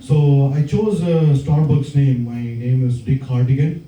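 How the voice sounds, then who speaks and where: A man from southern India with a South Indian accent, telling stories in a stand-up set with hesitant pauses and many fillers. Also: medium pitch, warm tone, slight background noise. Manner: hesitant, filler-heavy storytelling with many ums. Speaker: stand-up comedian. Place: comedy club stage. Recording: roomy club sound from far off.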